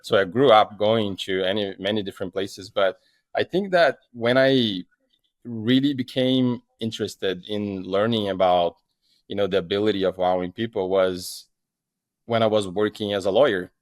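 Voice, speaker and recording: A man's voice: fairly low pitch, fairly even intonation, normal speed, no echo. A man with a Brazilian accent, a guest founder talking in a casual podcast interview, rambling with lots of fillers and restarts.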